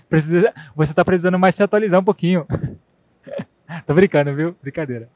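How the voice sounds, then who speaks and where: A man's voice: on the high side, normal speed, no echo. Male interpreter, dry close sound. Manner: relaxed, playful, laughs easily. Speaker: sign language interpreter. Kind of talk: voicing a deaf speaker's talk in first person. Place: conference stage.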